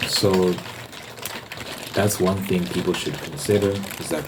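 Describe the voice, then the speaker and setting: A man giving an explanatory ASMR ramble in a halting way, often repeating words and phrases. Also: low-pitched. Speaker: ASMR video host. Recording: noticeable background noise.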